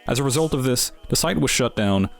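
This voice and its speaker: A man's voice: mid-range voice, expressive, fairly quick, vocal fry, faint music behind. A man giving scripted narration as the host of an explainer series, with wry, dryly humorous asides.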